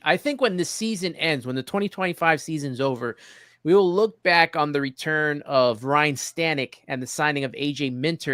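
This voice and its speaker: Male host, fairly high voice, close dry sound, organized presenter delivery for sports talk. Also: fairly bright tone, no room sound. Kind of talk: sports talk hosting, reading chat and stats.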